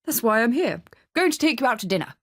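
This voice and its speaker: Woman acting a sly, coaxing older gentleman. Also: a little high, sing-song, fast talker, husky, no echo, studio quality, pitched above the performer's own voice, full character voice. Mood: flushed, eager.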